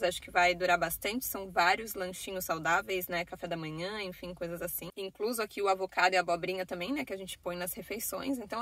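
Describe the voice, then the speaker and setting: A woman's voice: mid-range voice, pushed and bright, no echo. A young woman with a Brazilian Portuguese accent, chatting casually to her phone camera from a parked car in a vlog, full of little fillers. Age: young adult. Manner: chatty, talking with her hands, many fillers like "né" and "assim". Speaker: vlogger. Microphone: phone camera at arm's length.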